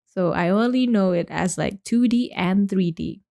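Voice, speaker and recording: A woman's voice: mid-range voice, normal speed, vocal fry, darkish tone. A young woman from Indonesia hosting her own podcast, talking casually and rambling with lots of "like" and restarts. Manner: chatty, rambling, with many likes and restarts. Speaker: podcast host. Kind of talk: casual solo podcast talk.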